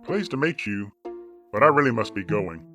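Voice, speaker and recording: A man's voice: lowish voice, very animated, normal speed, pushed and bright, soft background music. A man playing an earnest, polite superhero in an audio drama.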